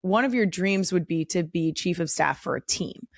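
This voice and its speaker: A woman in her thirties hosting a casual interview, playful and teasing, with quick asides. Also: on the low side, no echo, studio quality. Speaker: podcast host.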